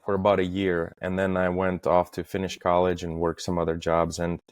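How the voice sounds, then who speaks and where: Low-voiced man, very even in pitch. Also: fairly quick, no echo, clean studio sound. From Estonia. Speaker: podcast guest, facade industry professional. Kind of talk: casual interview, recounting career.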